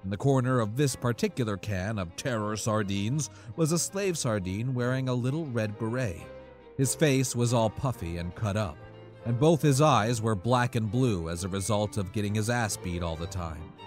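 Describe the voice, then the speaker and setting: A man's voice: fairly low pitch, expressive, moderate pace, a little mellow, soft background music. A man narrating a scripted comic horror story in a dramatic, profane storytelling manner.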